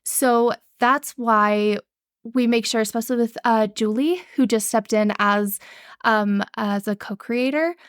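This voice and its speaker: A woman's voice: on the high side, a little slow, clean tone, dry recording, studio quality. A young woman in casual podcast chat, rambling and enthusiastic with many fillers and restarts. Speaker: podcast guest, actual-play show organizer.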